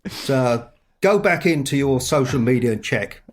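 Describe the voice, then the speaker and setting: A middle-aged man with a London accent talks over a video call at an ordinary, middle pitch. He is chatty and teasing, often laughing between his words. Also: expressive, unhurried. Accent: London English. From England. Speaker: author guest. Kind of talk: casual podcast chat.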